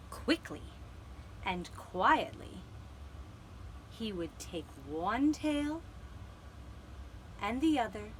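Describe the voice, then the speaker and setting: Lady doing a gentle kids puppet show story, highish voice, really slow with dramatic pauses. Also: a little hiss or hum, rough recording.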